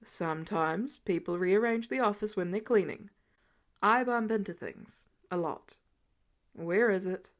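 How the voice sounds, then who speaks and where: A woman's voice: mid-range voice, unhurried, pitched below the performer's own voice, close to an everyday voice. Woman doing a dry protective vigilante guy for an audiobook, voice pushed down, short understated lines. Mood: dry, brisk. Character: blind man, protective, dry-humoured vigilante lawyer.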